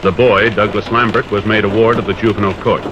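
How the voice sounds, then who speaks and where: A man's voice: lowish voice, fairly even intonation, fast talker, noisy background. A man with an American accent reading formal scripted announcements in 1950s radio style, on a muffled vintage recording.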